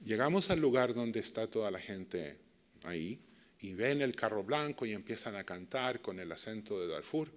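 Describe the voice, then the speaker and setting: A man's voice: fairly low pitch, expressive, normal speed. Chilean man telling stories in a lecture. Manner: warm anecdotal storytelling with direct questions to the audience. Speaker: speaker giving a master class. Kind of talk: storytelling lecture to a live audience.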